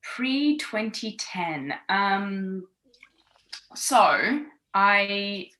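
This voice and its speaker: A woman's voice: mid-range voice, animated, extremely slow, pushed and bright, low-quality recording. A woman in her thirties from Australia, a podcast guest chatting casually with lots of fillers and restarts. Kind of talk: casual chat. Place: home in London.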